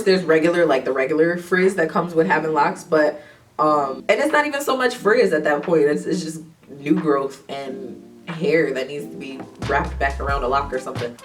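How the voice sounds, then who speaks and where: Young woman, low voice, head cold, relaxed and chatty to camera, mic set back with music underneath. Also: recorded from a distance. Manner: chatty, laughs often, trails off with ums. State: stuffy from a cold. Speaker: hair vlogger. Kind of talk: casual talk to camera.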